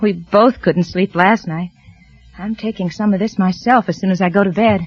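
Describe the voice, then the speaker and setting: An actress playing a nervous, secretive wife who stammers anxiously, in a 1940s radio drama. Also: female voice, mid-range voice, fairly even intonation, normal speed, breathy edge, natural voice. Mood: sweet. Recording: lo-fi old radio broadcast with music.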